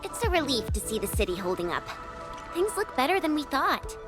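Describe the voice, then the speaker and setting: Very high, excitable young woman. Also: brisk, over music. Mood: friendly, relieved. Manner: expressive, informal, impulsive. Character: excitable, outspoken young woman, anxious for her friend. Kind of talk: video game cutscene acting.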